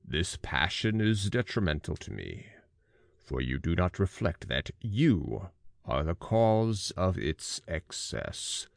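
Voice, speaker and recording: A man voicing a miserable, vengeful monstrous fiend for an audiobook, with slow, weighty, dramatic delivery and a deep, gravelly voice. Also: big pitch swings, dry recording, studio quality, heavily acted. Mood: reasoning, sorrowful. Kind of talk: audiobook character acting.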